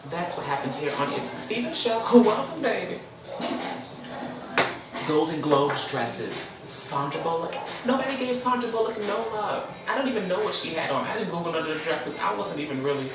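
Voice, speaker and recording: A man in his twenties hosting his own casual talk show, chatty and expressive, with sudden high squeals. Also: distant mic, noisy background. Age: 20s. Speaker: host. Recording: echoey room, voice a bit off the mic.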